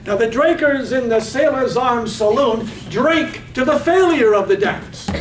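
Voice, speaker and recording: A man playing the lyrical, measured narrator in a staged reading of a poetic radio drama. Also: very high voice, lively intonation. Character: lyrical, measured storyteller of a night-time town. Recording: roomy, distant mic, faint noise.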